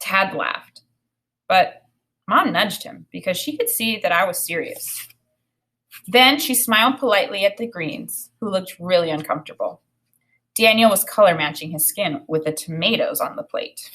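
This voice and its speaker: A woman reading a children's story aloud in an expressive narrating style. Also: medium pitch, moderate pace.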